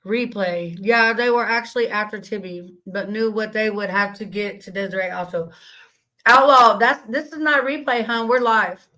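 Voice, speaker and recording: A woman with a slightly raspy voice hosting a casual live stream, reading chat comments and talking bluntly, with plenty of profanity and laughter. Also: mid-range voice, steady tone, moderate pace, pushed and bright, rough recording.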